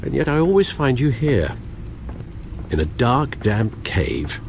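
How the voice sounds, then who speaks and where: A man playing a loyal older butler in a video game, formal and gently sardonic in manner. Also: mid-range voice, big pitch swings, slow, vocal fry, a little hiss or hum, full character voice. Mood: wry teasing. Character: dry-witted loyal older butler to a rich vigilante. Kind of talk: dramatic video game voice acting.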